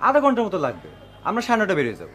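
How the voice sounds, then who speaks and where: An actor playing an eager, hospitable, unsuspecting young husband with a Bangladeshi accent and an over-polite manner. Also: male voice, high voice, huge pitch swings, rapid-fire, a bit bright, slight background noise, full character voice. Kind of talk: TV drama acting.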